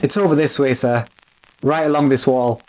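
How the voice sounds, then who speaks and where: An actor playing a deferential, anxious manservant in an old-time radio mystery. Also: male voice, medium pitch, fairly even intonation, moderate pace, noisy background, natural voice. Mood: deferential, uneasy. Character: deferential, nervous manservant to a gentleman.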